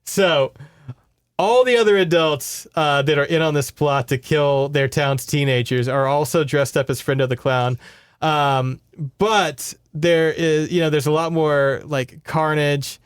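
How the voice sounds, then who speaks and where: A man's voice: on the high side, measured pace, clean tone, dry recording. A man hosting a podcast, giving a casual, rambling, sweary book report in conversation. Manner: informal, sweary, rambling storytelling.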